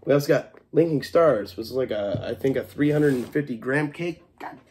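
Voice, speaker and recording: A man's voice: medium pitch, normal speed, background noise. A young man with a congested voice and a cough, casually showing off his haul in a small home kitchen and swearing freely. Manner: laid-back, swears freely, scolds his cat. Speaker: fireworks vlogger. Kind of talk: casual unboxing vlog.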